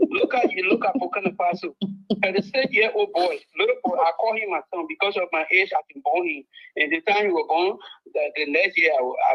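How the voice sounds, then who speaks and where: Indignant Liberian man on phone line. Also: sits high. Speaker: caller. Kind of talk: call-in political commentary.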